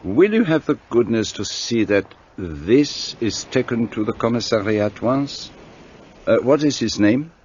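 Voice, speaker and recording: A man's voice: medium pitch, expressive, unhurried, a little mellow, a little hiss or hum, lightly acted. A man playing a fussy, precise detective in a radio drama, formal and a little condescending. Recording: dull dry studio audio play.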